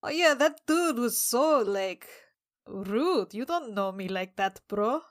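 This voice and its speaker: A woman's voice: a little high, expressive, slow pace, dry recording, studio quality. A woman improvising casual, flippant in-character banter as a player in a tabletop roleplaying game.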